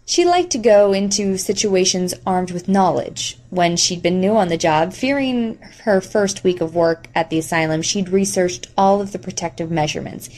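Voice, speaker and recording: A woman narrates a novel for an audiobook. Her voice is a little lower than most women's, and she reads scripted prose. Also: darkish tone.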